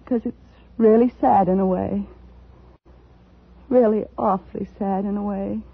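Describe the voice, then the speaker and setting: An actress playing an unstable, wronged woman with brittle sweetness and theatrical, drawn-out intensity in 1950s radio style. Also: mid-range voice, steady tone, creaky, husky. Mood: hysterical, unhinged. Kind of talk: 1950s radio drama acting. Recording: tinny old radio broadcast with music.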